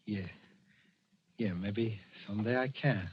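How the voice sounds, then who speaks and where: An actor playing an uneasy, hard-up man in a 1940s radio drama, halting and subdued. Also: lowish voice. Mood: hesitant, interested. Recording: muffled, noisy old radio recording.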